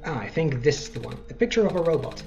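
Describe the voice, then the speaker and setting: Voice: medium pitch, very animated, quick pace, gravelly, darkish tone, faint music behind. A let's play host giving casual, rambling game commentary with self-deprecating asides.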